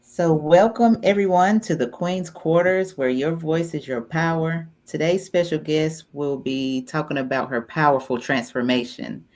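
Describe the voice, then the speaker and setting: A woman hosting an online talk show, calmly welcoming her guest and explaining how the session will run. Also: low voice. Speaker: host.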